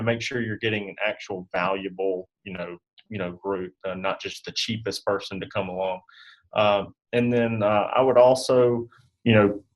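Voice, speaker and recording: A man with a fairly low voice talks as an interview guest. His delivery is casual and halting, loosely phrased as he offers advice. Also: poor audio. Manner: halting, loose, peppered with "you know". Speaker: guest advisor.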